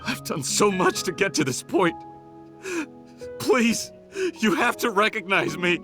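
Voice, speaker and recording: Sharp, intense young fugitive. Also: male voice, sits high, animated, unhurried, soft background music. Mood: desperate, pleading. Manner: theatrical, insistent. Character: cunning, desperate young male fugitive and devoted son. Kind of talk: scripted video game voice acting.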